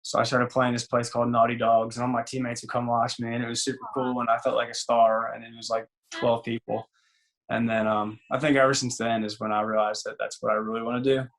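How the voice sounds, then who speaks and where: A man in his twenties with a raspy voice, a singer and former ballplayer chatting loosely as an interview guest, full of fillers and restarts. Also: mid-range voice, flat delivery, quick pace, a bit bright, rough recording. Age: 20s. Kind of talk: casual interview chat.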